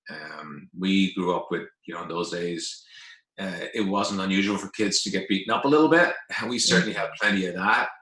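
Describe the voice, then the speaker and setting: A man's voice: sits low, moderate pace, pushed and bright. A man in his fifties with an Irish accent, telling his personal story as an interview guest in a conversational way. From Ireland. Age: 50s. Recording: roomy, echoing sound.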